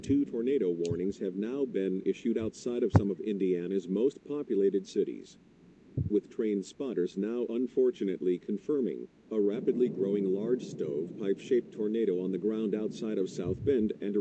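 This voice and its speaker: A man's voice: on the low side, normal speed, background noise, lightly acted. A man playing a grave, emphatic TV meteorologist giving urgent live storm warnings. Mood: grave, firm. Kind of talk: live severe weather broadcast commentary. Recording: dull, muffled stream playback.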